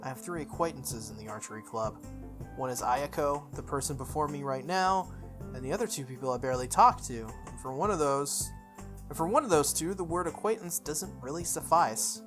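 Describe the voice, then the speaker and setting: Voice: mid-range voice, fairly quick. A video game playthrough host reading a visual novel aloud, breaking off into informal, exclamatory asides. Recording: music under the voice. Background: background music.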